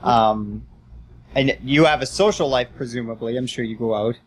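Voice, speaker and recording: A young Canadian woman hosting a casual podcast chat in a slangy, excitable, teasing way. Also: rumbling low, moderate pace. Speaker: podcast host. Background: music behind the voice.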